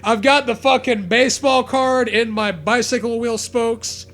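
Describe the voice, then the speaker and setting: A man co-hosting a comedic fan fiction reading, profane, sarcastic and excitable, with laughter often in his delivery. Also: very high-pitched, measured pace. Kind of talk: comedic read-aloud with riffing.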